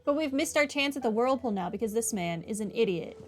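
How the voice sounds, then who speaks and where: A woman's voice: a little high, lively intonation, quick pace, over music. A blunt, sarcastic woman giving casual commentary on a game playthrough. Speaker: gaming streamer.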